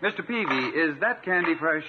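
Actor as a glib freeloader, tinny old radio. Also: male voice, on the high side, lively intonation, fairly quick, lightly acted. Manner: polite, glib. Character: smooth-talking, self-assured freeloading man, chummy and presumptuous. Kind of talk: 1950s radio situation comedy acting. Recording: tinny 1950s radio recording, faint hiss.

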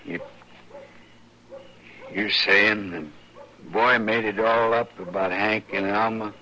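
An actor playing a stubborn, possessive rustic husband in a radio western, with slow, folksy speech. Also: male voice, fairly low pitch, steady tone, sharp tone. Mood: sheepish. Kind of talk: 1950s radio western acting. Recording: noisy old radio recording.

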